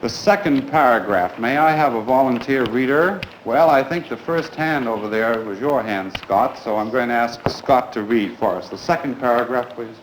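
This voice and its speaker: Low-pitched male teacher in an echoey classroom. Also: normal speed, few pauses. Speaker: schoolteacher leading a grammar class. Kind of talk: classroom instruction with questions to pupils. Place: indoors in a school classroom. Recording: echoey classroom recording with clear background noise.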